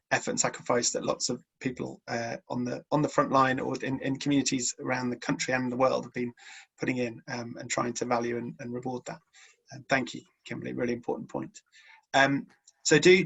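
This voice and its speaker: A man hosting an online panel discussion, speaking in a halting way with many repeated words. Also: mid-range voice, gravelly, a bit bright, slightly rough, poor audio. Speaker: event host and moderator.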